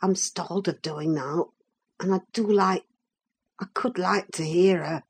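A rough young country lad, voiced by a woman reading a classic storybook. He speaks low and gruff, bashful and awkward, with an easily wounded pride. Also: normal speed, close to an everyday voice. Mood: bashful pleading. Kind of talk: dramatised audiobook reading of a classic novel.

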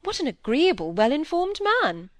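Woman performing young noblewoman, high-pitched, very animated, imperious, teasing, world-weary, emphatic, period audiobook. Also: moderate pace, no room sound. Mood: breezy, emphatic. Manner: breezy, imperious, emphatic, playfully complaining. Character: aristocratic young lady, frank, teasing, world-weary, breezy. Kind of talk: audiobook character voice in a period novel.

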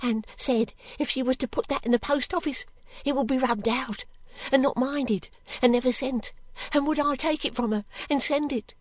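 A wretched young servant girl from a storybook. The voice is very high and close and dry, plaintive and pleading, anxious to please and easily frightened. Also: normal speed, few pauses, no echo. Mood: frightened, distraught. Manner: plaintive, pleading, breathlessly repetitive. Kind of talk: audiobook character acting.

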